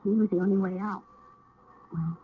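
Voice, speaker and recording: Guarded young female runaway for radio drama: dry, hesitant, husky, muffled phone-like broadcast. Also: mid-range voice, fairly even intonation, normal speed. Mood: confiding, downcast. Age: young adult. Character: wary, guarded eighteen-year-old runaway girl.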